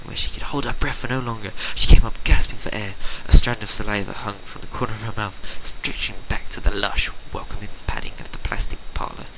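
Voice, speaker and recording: Theatrical man narrating, with noticeable hiss. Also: on the low side. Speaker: reader of fan fiction. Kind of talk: amateur dramatic story narration. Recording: clearly hissy home recording.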